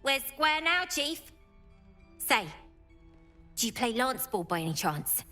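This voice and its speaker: A cheeky, competitive game character, with a high, bright voice. She talks in a cocky, informal way, stretching words slowly over faint music. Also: very animated, full character voice. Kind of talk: video game acting.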